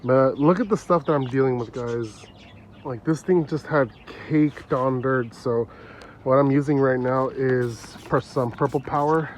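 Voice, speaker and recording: Stuffy-nosed man, casual car vlogger talking to his phone outside, easygoing, faint outdoor noise. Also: medium pitch. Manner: relaxed, chatty, laughs often. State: congested, stuffy nose. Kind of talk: casual how-to vlog narration. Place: outdoors in a yard. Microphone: phone held while working.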